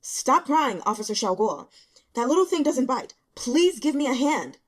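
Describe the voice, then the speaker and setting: A woman's voice: high-pitched, very animated, normal speed, pitched above the performer's own voice. A woman voicing a composed, polite male teacher in an audiobook, firm and commanding as patience wears thin. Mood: strained firmness.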